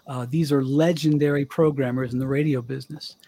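An older man, a former radio DJ, telling stories in a chatty, animated way with a young-sounding voice. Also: mid-range voice, a little fast, slightly rough. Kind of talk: casual storytelling interview.

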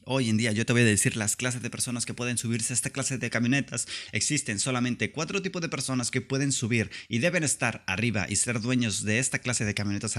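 A man's voice: mid-range voice, fairly bright tone. A man with a northern Mexican accent delivering a comedic podcast rant in a slangy, profane, sarcastic manner. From Tijuana, Mexico. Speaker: podcast host.

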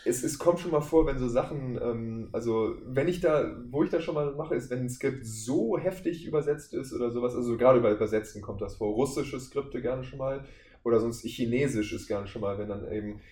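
A man's voice: medium pitch, brisk, dark tone. Male podcast guest in a roomy space. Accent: German. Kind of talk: casual interview chat with anecdotes. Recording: roomy echo, faint background noise.

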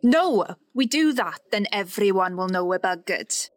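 A woman's voice: mid-range voice, lively intonation, measured pace, no echo, lightly acted. A woman playing a weary, dry-witted political staffer exasperated by colleagues in a comedy drama. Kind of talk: comedy audio drama dialogue.

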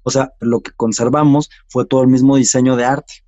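A Mexican film director talking casually in an interview, halting with many restarts and fillers. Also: male voice, medium pitch, steady tone, warm tone, no echo, dull audio.

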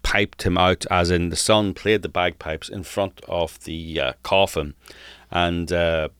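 A man's voice: deep voice, fairly even intonation, measured pace, a bit bright, clean tone, dry recording, clean studio sound. A man co-hosting a podcast, telling stories casually and explaining as he goes, repeating words for emphasis. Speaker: podcast co-host. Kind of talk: casual conversational storytelling.

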